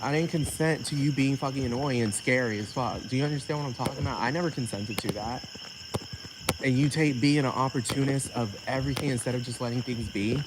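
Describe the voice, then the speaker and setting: Male vlogger, mid-pitched, ranting loosely with lots of swearing; noisy take with crickets behind. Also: quick pace. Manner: repetitive, keeps asking "you know what I'm talking about". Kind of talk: rambling profane rant.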